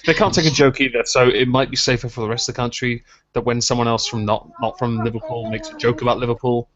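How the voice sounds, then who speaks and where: A man's voice: mid-range voice, steady tone, fast talker, a bit bright. A man hosting a casual chat, teasing his guest with dry jokes. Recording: dull lo-fi sound.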